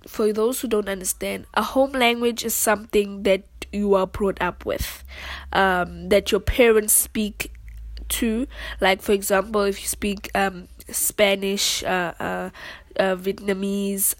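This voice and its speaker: Young woman, from South Africa, earnest, rambling, mid-pitched, dry close sound. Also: fairly even intonation, a little slow, no room sound. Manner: earnest, rambling, with frequent "you know what I'm saying" asides. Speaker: vlogger. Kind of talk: personal storytelling to camera.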